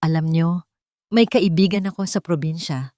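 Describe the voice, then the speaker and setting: A woman playing a haughty older matriarch in an audio drama, sly and scornful, with a measured, insinuating manner. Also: lowish voice, warm tone, no room sound, clean studio sound, close to an everyday voice.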